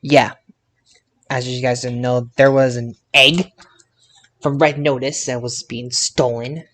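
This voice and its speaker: A man hosting a casual wrestling podcast, speaking haltingly and repeating words as he searches for phrasing. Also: medium pitch, little pitch movement, fairly bright tone. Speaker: podcast host.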